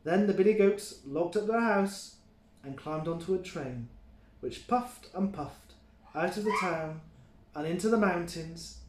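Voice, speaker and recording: A man reading a children's story aloud like a teacher, with expressive, deliberate phrasing in an echoey room. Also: high-pitched, slight background noise. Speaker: early years teacher reading stories. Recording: roomy, echoing sound.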